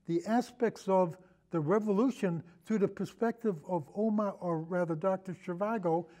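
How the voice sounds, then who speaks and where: An older man talking casually about film as a guest, in a rambling, halting way that trails off. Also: high voice, dark tone. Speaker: podcast guest, longtime radio show host.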